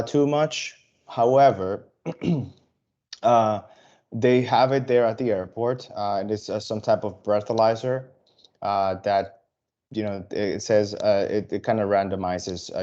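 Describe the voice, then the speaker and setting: A man from the Dominican Republic, an airline sales representative, giving a casual, halting presentation to travel advisors, full of fillers and restarts. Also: fairly low pitch, a little mellow, highs cut off.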